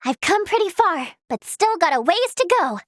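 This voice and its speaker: Young girl game healer, extremely high voice, plucky and sweet, earnest, lively swooping intonation. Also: moderate pace, no room sound, clean studio sound. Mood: perky, cocky. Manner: perky, earnest, expressive delivery. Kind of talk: video game battle voice lines.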